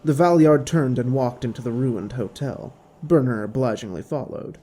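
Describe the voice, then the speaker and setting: A man's voice: mid-range voice, normal speed, mellow. A man reading scripted story narration for an audio drama, with music underneath.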